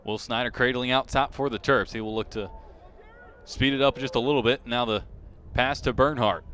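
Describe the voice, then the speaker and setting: A man's voice: mid-range voice, moderate pace. Male play-by-play announcer over faint background noise. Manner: excitement rises sharply on big plays. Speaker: play-by-play sports commentator. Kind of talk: live sports play-by-play commentary.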